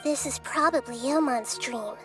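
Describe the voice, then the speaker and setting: Woman as a high-voiced, gentle little child goddess. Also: close to an everyday voice. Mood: thoughtful. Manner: measured, musing, speaks in analogies. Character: small, wise, gentle child goddess, calm and thoughtful. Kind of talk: video game voice acting. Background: music.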